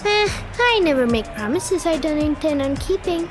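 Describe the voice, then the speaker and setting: A woman's voice: high-pitched, expressive, moderate pace, music in the background. A woman voicing a confident, cheeky female fighter who boasts freely. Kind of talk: audio drama roleplay.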